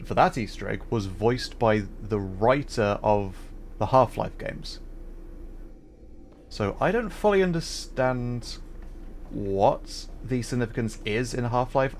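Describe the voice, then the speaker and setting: A man's voice: on the low side, a little hiss or hum. A man livestreaming a video game, giving casual commentary and explaining things in a hesitant, thoughtful way.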